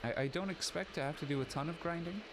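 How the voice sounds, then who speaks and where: A man's voice: fairly high pitch, fast talker, noisy background. A man from Canada with a slightly New York-like accent, rambling chattily as he plays a game on stream through a turned-down laptop mic. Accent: somewhat New York-like. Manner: rambling, self-aware, chatty with filler restarts. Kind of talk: casual let's-play commentary. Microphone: laptop mic turned down low. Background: game music.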